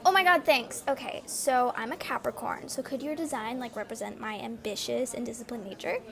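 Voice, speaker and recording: Woman acting bubbly, gushing, high-pitched young woman. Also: brisk, vocal fry, crowd noise. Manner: gushing, squealing. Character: bubbly, self-absorbed young female coffee customer. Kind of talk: amateur radio play acting.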